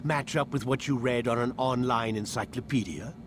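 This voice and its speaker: A man's voice: medium pitch, normal speed, background noise, close to an everyday voice. A man playing a condescending, smug scientist in game cutscene dialogue, with an articulate, sardonic delivery. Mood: scornful lecturing. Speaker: game voice actor.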